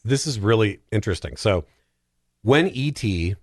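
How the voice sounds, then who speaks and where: A man in casual, comedic podcast chat, telling things in an animated, halting way with plenty of filler likes. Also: on the low side, no echo, clean studio sound. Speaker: podcast panellist.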